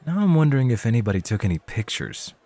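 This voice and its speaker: An actor playing a nervous, earnest rookie club host who talks casually and gets flustered easily in a scripted audio roleplay. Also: male voice, mid-range voice, big pitch swings, fast talker, warm tone, no room sound. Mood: curious, teasing. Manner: casual, stammering, self-conscious.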